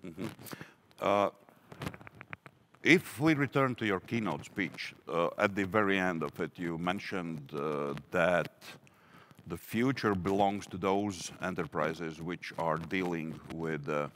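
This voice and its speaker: A man moderating a conference Q&A, reading out audience questions in a halting way with many fillers. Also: deep voice, fairly bright tone, a little hiss or hum. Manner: hesitant, halting, full of fillers.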